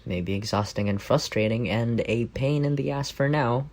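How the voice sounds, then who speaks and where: A man's voice: medium pitch, moderate pace, darkish tone, close to an everyday voice. A young man playing an affectionate, teasing roommate with a secret crush in a casual, intimate ASMR roleplay. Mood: teasing, reassuring. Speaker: voice actor in audio roleplay.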